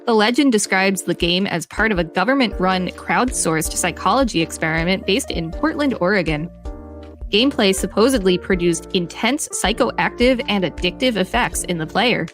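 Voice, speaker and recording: A woman's voice: mid-range voice, moderate pace. Upbeat woman reading aloud over faint music. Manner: upbeat, reads lists excitedly. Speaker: podcast co-host reading articles.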